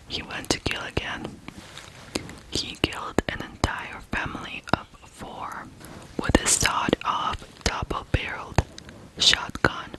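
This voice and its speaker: An ASMR host from Ukraine narrating true crime in a hushed, deliberate way, pausing between short phrases. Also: poor audio.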